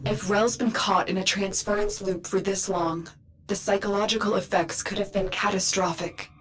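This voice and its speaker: Woman as a commanding mentor over loud noise. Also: mid-range voice, fairly even intonation, moderate pace, lightly acted. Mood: concerned, questioning. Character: authoritative mentor woman guiding a warrior by radio. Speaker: mission guide. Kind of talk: video game voice acting.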